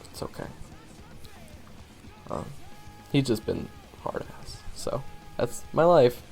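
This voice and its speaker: Young male monster hunter, played by a male actor, audio drama monologue. Casual, self-deprecating, trailing off; fry-heavy, expressive pitch, music underneath. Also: slow, full character voice. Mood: subdued, awkward. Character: young monster-hunting swordsman, wry, wounded, easygoing. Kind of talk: conversational audio roleplay monologue.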